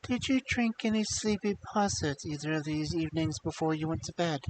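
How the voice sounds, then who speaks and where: A man's voice: a little high, fairly quick, slight background noise, lightly acted, rough recording. A man voicing a sorrowful, devoted princess pleading in a storybook fairy tale. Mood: coaxing. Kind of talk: fairy tale storytelling, chanted slow verse.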